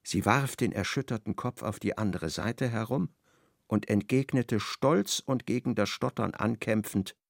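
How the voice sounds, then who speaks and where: A man narrating a literary radio play with measured, expressive storytelling. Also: fairly low pitch, gravelly, no echo, studio quality.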